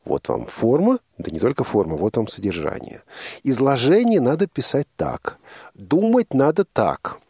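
A male radio host speaks in a husky, creaky voice at a middling pitch. He talks discursively and offers opinions, often qualifying them, in a conversational interview. The sound is close and dry, as in a studio. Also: wildly swooping pitch, normal speed, a little mellow, no room sound.

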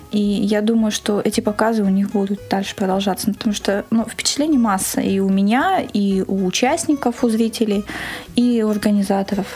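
A woman's voice: medium pitch, darkish tone. A woman with a mid-range voice speaks as a guest in a studio. She is from Krasnoyarsk in Russia and recounts her work in an informal, conversational way. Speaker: studio guest, event organizer. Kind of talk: conversational interview storytelling. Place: radio studio.

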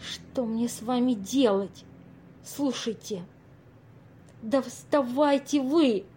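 A woman plays a kind-hearted single woman at her wits' end in an audio drama. Her voice is high and her delivery unhurried. She sounds earnest, fretful and easily exasperated. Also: dark tone, slight background noise. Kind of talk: amateur audio play acting.

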